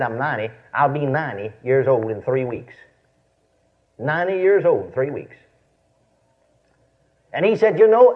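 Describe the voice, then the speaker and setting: A man in his fifties with a Texan accent preaches a folksy, storytelling sermon, repeating phrases for emphasis and checking in with his listeners. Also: on the high side. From Texas, United States. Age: 50s. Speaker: preacher and Bible teacher. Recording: dull, lo-fi sermon recording.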